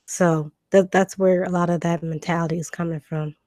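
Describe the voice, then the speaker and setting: A woman, hoarse from a cold, hosting a live stream and answering viewer comments in a relaxed chat with lots of ums. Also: fairly low pitch, moderate pace, dry recording, studio quality. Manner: conversational, reads comments aloud and answers them, frequent ums.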